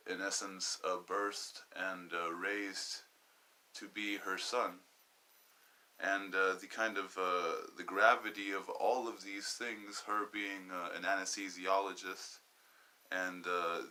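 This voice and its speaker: A man in his twenties from Southern California, hosting a personal podcast monologue, halting and wandering as he searches for words. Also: low voice, bright, forceful tone, rough recording. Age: 20s. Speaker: podcast host.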